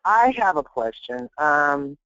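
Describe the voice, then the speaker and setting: A man's voice: big pitch swings, slow pace, sharp tone, no echo. A man calling into a conference call, politely asking questions over a muffled line. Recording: dry, muffled low-fi conference call audio.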